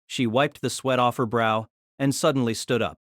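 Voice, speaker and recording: A narrator reading a novel aloud in an expressive storytelling manner. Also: mid-range voice, normal speed, no room sound, studio quality.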